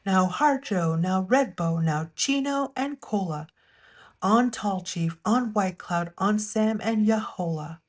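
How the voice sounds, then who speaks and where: A woman's voice: medium pitch, takes it slowly. Woman reading a rhyming children's story aloud. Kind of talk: read-aloud rhyming picture-book narration.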